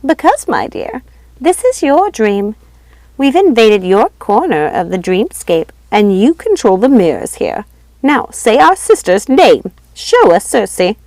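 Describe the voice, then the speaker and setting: Woman performing a wicked storybook witch, raised pitch, loud, imperious, menacing, frenzied, dry sound. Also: big pitch swings, a little slow, no echo. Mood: menacing, commanding. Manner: shrill, commanding, dramatic shouting. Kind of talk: storybook character acting.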